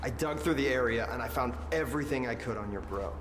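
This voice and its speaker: An actor playing a casual, eager young sidekick in a video game, informal and chatty. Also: male voice, mid-range voice, fast, lightly acted. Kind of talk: naturalistic game dialogue. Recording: distant, reverberant hall with faint noise.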